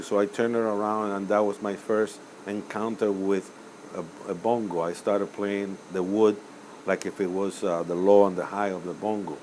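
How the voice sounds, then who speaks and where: An older man from Cuba, a musician answering interview questions in a rambling, hesitant way with many pauses and self-corrections. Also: fairly low pitch, a little mellow, slight background noise, rough recording. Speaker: musician guest.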